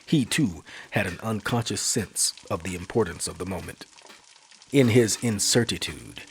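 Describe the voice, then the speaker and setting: Low husky man telling a spooky tale. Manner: slow, hushed, dramatic reading. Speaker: podcast host and storyteller. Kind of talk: spooky storytelling narration. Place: studio.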